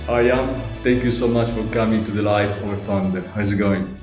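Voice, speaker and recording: A man's voice: lowish voice, fairly even intonation, brisk, darkish tone, soft background music. A man hosting a podcast interview, asking questions in a polite, formal, thankful manner. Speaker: podcast host. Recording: echoey room.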